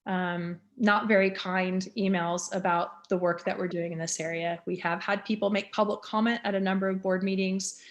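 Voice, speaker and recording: A woman in her fifties, a school superintendent, speaking candidly and earnestly at a community meeting. She often pauses on "um" fillers. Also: medium pitch, steady tone. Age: 50s.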